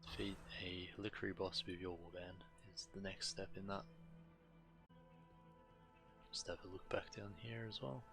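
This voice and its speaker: A man giving casual, matter-of-fact and slightly halting gameplay commentary as a streamer, reading on-screen text aloud. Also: sits low, fairly even intonation, vocal fry, music in the background. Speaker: game streamer.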